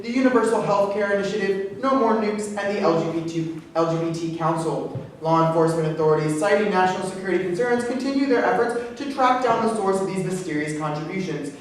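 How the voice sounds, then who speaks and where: A woman's voice: mid-range voice, close to an everyday voice. A woman playing a formal television news reporter, announcing a scripted bulletin in a staged reading. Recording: echoey room, distant mic, faint noise.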